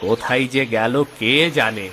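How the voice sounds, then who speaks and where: A man's voice: lowish voice, steady tone, moderate pace, a bit bright, slight background noise, lightly acted. A man voicing a caring, loyal village friend in a cartoon story, with a familiar way of talking. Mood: searching, worried. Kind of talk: Bengali cartoon story acting.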